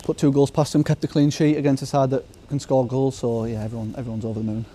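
A man's voice: medium pitch, level tone, fast talker, dark tone, slight background noise. A young footballer in his twenties, giving a modest, upbeat post-match interview outdoors at the ground at night, with lots of fillers and restarts.

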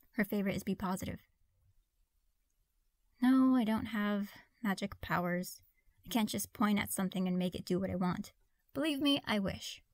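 A woman's voice: mid-range voice, dry recording, close to an everyday voice. A woman playing a friendly, awkward young witch in a conversational audio roleplay, hesitant and apologetic. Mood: apologetic, earnest.

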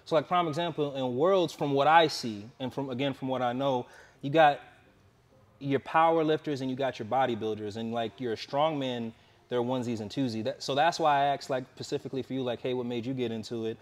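A young man interviewing a guest in a relaxed, halting way, full of fillers and restarts. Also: mid-range voice. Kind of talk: casual interview.

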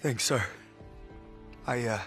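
An actor playing an earnest, loyal young soldier from Texas in a war game. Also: male voice, medium pitch, slow, fairly bright tone, faint music behind. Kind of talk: cinematic war game acting.

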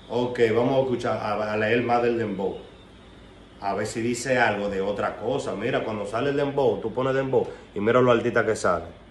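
Young Dominican man ranting in echoey room. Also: mid-range voice. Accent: Dominican Spanish. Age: young adult. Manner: emphatic, confrontational, slangy. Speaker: online commentator. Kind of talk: opinionated casual rant to camera. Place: small room at home, indoors. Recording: roomy echo, faint background noise.

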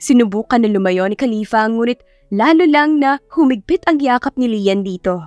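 High-voiced Filipino woman narrating a story. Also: expressive, normal speed, no room sound, dull audio. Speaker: audiobook narrator. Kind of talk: dramatized scripted story narration. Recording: dry voice over faint music. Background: soft background music.

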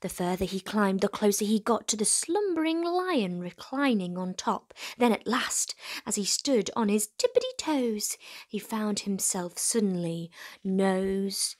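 A woman reading a children's storybook aloud expressively as host, with dramatic pauses and hushed emphasis. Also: medium pitch, big pitch swings.

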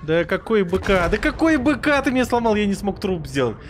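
A man streaming a game live, chatting with viewers in a rambling way and reacting loudly to the action. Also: high voice, a little fast. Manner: excitable, rambling, swears, jumps between chatting and reacting to the game. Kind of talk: live gameplay commentary and chat.

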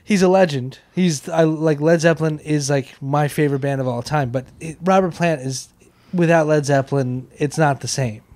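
A man's voice: a little high, normal speed, darkish tone, dry recording. An American man co-hosting a podcast, chatting casually and comedically into a handheld microphone held close. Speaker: podcast co-host. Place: small indoor podcast room with couches.

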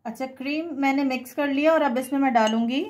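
A woman's voice: high-pitched, quick pace, a little mellow. A woman presents a home recipe step by step, conversational and instructional, mixing in English words. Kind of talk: step-by-step cooking tutorial voice-over.

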